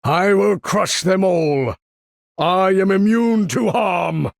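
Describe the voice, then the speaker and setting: A male actor playing a proud, imperious older supervillain commander in a video game, with a gravelly, husky voice and grandiose, menacing, formal delivery. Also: sits high, slow, no room sound, clean studio sound, exaggerated voice. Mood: booming fury. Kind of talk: theatrical video game character voice lines.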